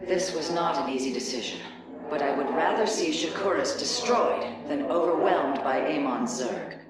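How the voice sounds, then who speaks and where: A woman's voice: deep voice, normal speed, natural voice. A woman playing a proud, resolute alien matriarch in a science fiction game, speaking in a solemn, stately manner. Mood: grave, resolute. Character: proud, resolute alien matriarch of exiled dark warrior people. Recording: echoing voice under loud music.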